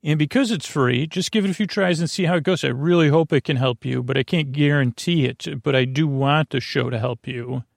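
A man hosting a sleep podcast with a meandering, halting bedtime monologue, often losing his thread and circling back, in a creaky voice. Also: a little high, no echo, clean studio sound. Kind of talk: rambling bedtime monologue to the listener.

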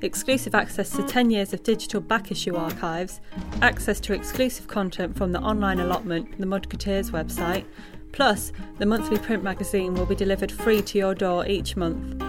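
A woman reading a subscription promo in an upbeat, brisk sales delivery. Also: medium pitch, fairly even intonation, music in the background. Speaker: announcer.

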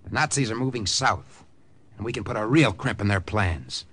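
An actor playing a cool, determined American agent, measured and serious, acting and narrating in old radio drama style. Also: male voice, fairly low pitch, lively intonation, fairly bright tone, slightly rough. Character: cool, determined American spy leading a sabotage mission.

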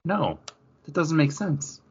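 A man's voice: a little high, big pitch swings, slow pace, darkish tone, husky. A man in his forties co-hosting a podcast, chatting casually with frequent laughs and lots of filler words. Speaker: podcast co-host. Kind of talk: casual chat. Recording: slightly dull lo-fi audio.

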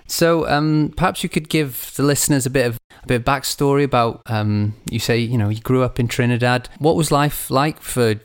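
A man hosting a podcast, reading a calm scripted intro and then chatting with a guest in a measured, friendly way. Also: mid-range voice, smooth, no room sound. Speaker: podcast host.

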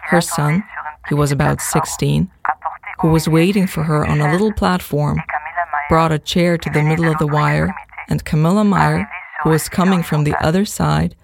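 Creaky, reflective writer, noisy recording. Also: male voice, a little high, fairly even intonation, moderate pace. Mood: wistful recollection. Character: introspective male writer recalling circus wire walkers. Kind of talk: literary monologue in an audio play.

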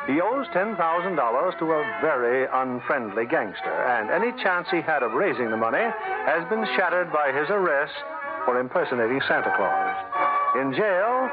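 A male 1950s radio announcer reads scripted narration in a fairly high voice. The broadcast is tinny and narrow, and loud music plays behind him.

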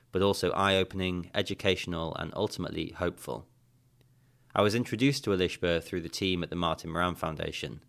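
A man hosting an adventure podcast, reading a measured, earnest introduction for his guest. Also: deep voice, vocal fry, a bit bright. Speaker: podcast host.

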